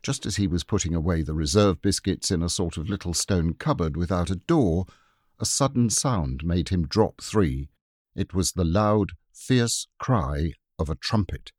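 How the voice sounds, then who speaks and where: A man narrating a classic children's book, his voice sitting low but moving a lot in pitch. The sound is dry and close with no room to it. He tells the story in an expressive, measured way. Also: dry recording. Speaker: audiobook reader. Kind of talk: scripted narration.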